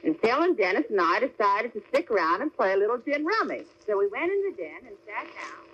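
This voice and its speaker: A woman's voice: mid-range voice, big pitch swings, fairly quick, lightly acted. A woman playing a breezy, teasing sidekick in a 1940s radio comedy. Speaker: comedy show cast member. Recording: old lo-fi radio comedy recording, faint noise.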